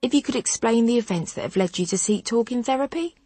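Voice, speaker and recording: Woman voicing a soft-spoken therapist. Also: a little high, expressive, quick pace, no room sound, pitched above the performer's own voice. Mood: gentle. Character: calm woman therapist, gentle and professional.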